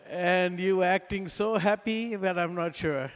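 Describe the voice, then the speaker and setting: A man's voice: high voice, unhurried, clean tone, in a large hall. A man from Sri Lanka with a Sri Lankan accent, a guest pastor giving a sermon in English, his second language, in a joking, plainspoken storytelling way. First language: not English.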